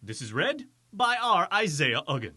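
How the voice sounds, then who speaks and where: Man, mock-formal presenter, lively swooping pitch, forceful tone, scripted introductions. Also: normal speed, slightly rough, some chatter behind.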